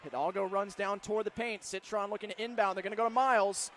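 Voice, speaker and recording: Male American radio sportscaster, very high-pitched, punchy clipped calls rising to shouts, over noisy venue crowd. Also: quick pace. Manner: clipped phrases, building to excited shouts. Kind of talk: live sports play-by-play commentary. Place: sports venue broadcast position. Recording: loud arena and crowd noise behind. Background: crowd and venue noise.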